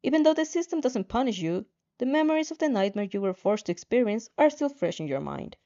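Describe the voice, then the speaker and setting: A woman's voice: a little high, lively intonation, fast talker, warm tone. A woman narrating fan fiction as a dramatic story reading, on slightly dull audio.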